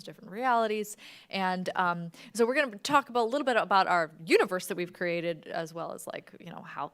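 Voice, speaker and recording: Woman co-presenting a casual talk. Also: mid-range voice. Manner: casual, loosely phrased with "like" and "kinda". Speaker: co-presenter, podcast creator. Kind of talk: informal talk to an audience.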